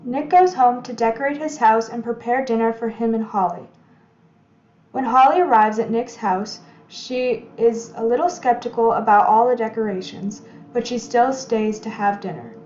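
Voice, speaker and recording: A woman's voice: a little high, normal speed, darkish tone. A woman reading scripted recap narration as a narrator in a radio drama. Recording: echoey, muffled, noticeable background noise.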